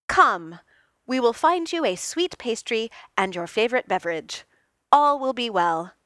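A woman voicing a kind, booming man who speaks in a formal, archaic way. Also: sing-song, unhurried, no echo, studio quality. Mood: hearty. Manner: formal, old-fashioned phrasing, loud. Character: hearty formal man, archaic speech, kindly.

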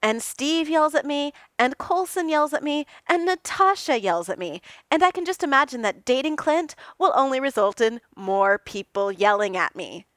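A woman voicing a sarcastic, self-pitying man who snaps and whines loudly. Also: on the high side, very animated, a little slow, no room sound, clean studio sound, pitched above the performer's own voice. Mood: whiny. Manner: snappish, whiny, loud.

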